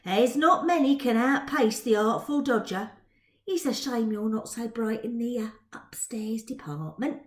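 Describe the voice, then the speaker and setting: A woman voicing a cocky, charming teenage Victorian pickpocket boy with street slang and mock-gentlemanly swagger, in a storybook reading. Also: a little high, animated, measured pace. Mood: teasing. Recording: roomy indoor echo.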